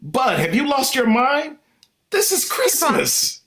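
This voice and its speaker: A man's voice: very high-pitched, huge pitch swings, slow, a bit bright, full character voice. An actor playing an exasperated, idealistic 1950s family father in an old-time radio comedy.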